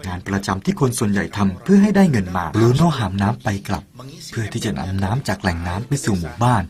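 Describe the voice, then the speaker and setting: A man's voice: on the low side, big pitch swings, quick pace. A man narrating a parable in an earnest, persuasive way and speaking directly to the listener. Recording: soft music behind the voice.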